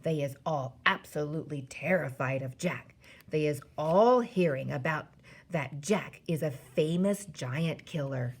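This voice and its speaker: A woman voicing a gentle, knowing male giant in a storybook, speaking in a hush with quaint mangled grammar. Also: low-pitched, a little slow, voice pushed down. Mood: earnest explaining.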